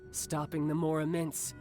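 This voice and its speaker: A man's voice: fairly high pitch, a little mellow. An actor playing a cocky young male villain in a video game, smug and theatrical, with a taunting, battle-hungry manner. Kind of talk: dramatic video game voice acting. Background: background music.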